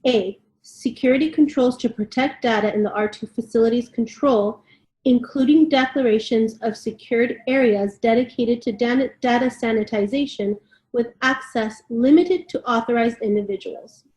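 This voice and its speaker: A woman with a fairly high voice co-hosts an informal discussion, reading formal text and talking it over. Her voice rings with noticeable room echo. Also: normal speed, darkish tone. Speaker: co-host reading out a standard. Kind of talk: read-aloud and informal discussion. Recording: echoey room.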